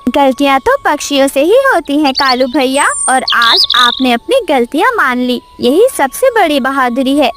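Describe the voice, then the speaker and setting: A woman playing a very high, tender mother sparrow. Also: lively intonation, moderate pace, hardly any pauses, music in the background. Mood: kind, earnest. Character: kind, humble mother sparrow, gentle and forgiving. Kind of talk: cartoon moral story acting.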